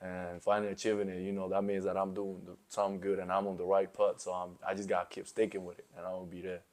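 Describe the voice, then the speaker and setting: A man's voice: sits low, completely flat delivery, quick pace, a little mellow. A young man from South Sudan, a college basketball player, answering interview questions in a laid-back way, full of "you know" and "um". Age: young adult.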